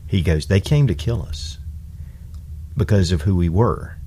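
A man's voice: low voice, measured pace, mellow, a little hiss or hum. A man in casual conversation, earnestly retelling a friend's story and quoting him with emphasis.

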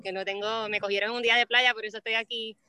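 A woman's voice: a little high, flat delivery, fast, pushed and bright, clear, resonant tone, dry recording. A woman with a Puerto Rican accent chatting casually as an interview guest. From Puerto Rico. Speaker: interview guest, lawyer and mother. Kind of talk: casual chat. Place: outdoors by the beach.

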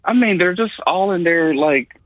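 A man's voice: high-pitched, animated, moderate pace, narrow, tinny audio. A man from Tennessee, a guest in a casual chat, telling rambling jokes. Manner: joking, rambling storyteller. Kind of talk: casual chat on a video call.

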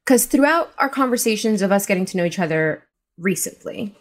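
A woman's voice: medium pitch, animated, a little fast. A woman hosting a podcast, interviewing casually and feeling her way through her sentences. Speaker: podcast host.

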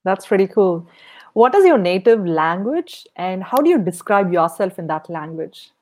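Host: woman, medium pitch, friendly, encouraging interviewer reading questions. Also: big pitch swings, moderate pace, darkish tone. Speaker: podcast host. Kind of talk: podcast interview, asking questions.

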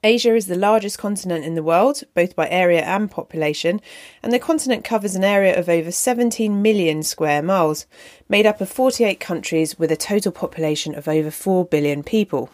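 A woman hosting a podcast, reading a measured, prepared introduction. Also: on the low side, a little mellow, no echo, clean studio sound. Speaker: podcast host.

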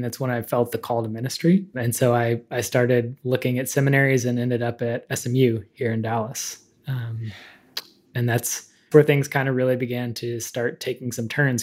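Easygoing man in his thirties from Texas. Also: mid-range voice. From Texas, United States. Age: 30s. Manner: easygoing, self-deprecating storytelling. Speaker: guest, author. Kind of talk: casual interview answers.

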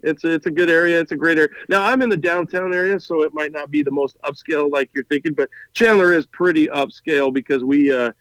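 A man talking casually and at length on a podcast, with a somewhat high voice. He rambles eagerly and stumbles over his starts, often repeating words. The recording is close and dry. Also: no echo, poor audio. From Montana. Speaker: guest, firefighter and truck podcaster.

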